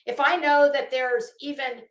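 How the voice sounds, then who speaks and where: A woman ranting casually as an online host, blunt and sarcastic, swearing freely and halting to stretch words for emphasis. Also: high voice, fairly even intonation, a bit bright. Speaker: YouTube host. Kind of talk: casual livestream rant. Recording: echoey room.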